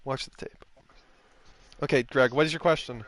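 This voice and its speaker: A man's voice: mid-range voice, fairly even intonation, moderate pace. A man hosting a post-race sim racing stream, chatting casually with drivers and joking crudely. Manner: joking, laughing, crude banter.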